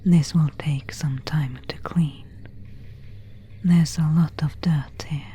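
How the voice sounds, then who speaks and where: Woman playing a vampire countess, super breathy whisper, really slow and formal, polite but quietly predatory, asmr roleplay. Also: low-pitched, steady tone, full character voice. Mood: softly guarded. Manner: slow, formal, old-fashioned diction.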